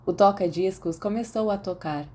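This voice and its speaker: A woman's voice: medium pitch, moderate pace, darkish tone. A woman with a Brazilian accent reading scripted fiction as an audiobook narrator. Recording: faint background noise.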